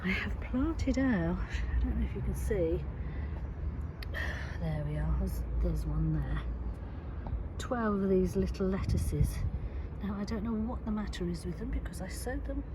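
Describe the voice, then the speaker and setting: A woman's voice: medium pitch, animated. A woman, chatting unhurriedly about her vegetable plot while walking it, sounding snuffly from hay fever. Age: 60s. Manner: chatty, unhurried, self-deprecating. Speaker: gardening vlogger. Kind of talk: casual walk-and-talk commentary. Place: sloping vegetable plot outdoors. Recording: loud outdoor background noise.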